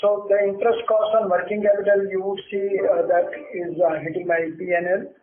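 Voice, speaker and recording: A man's voice: high-pitched, flat delivery, brisk, phone-quality sound. An Indian-accented man, a company executive answering questions on an earnings call in a plain-spoken way, often repeating phrases. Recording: roomy, noisy phone conference line.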